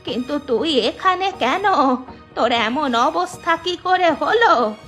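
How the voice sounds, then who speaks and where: A woman's voice: high voice, expressive, slow, a bit bright, faint music behind, exaggerated voice. A woman playing a kindly, fretful old village grandmother in a cartoon drama. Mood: alarmed, concerned. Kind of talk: cartoon voice acting.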